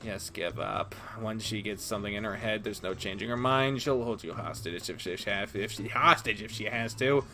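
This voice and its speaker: A man playing a shy, easily embarrassed teenage boy with a soft, sheepish manner. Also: mid-range voice, expressive, moderate pace, music in the background, natural voice. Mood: resigned.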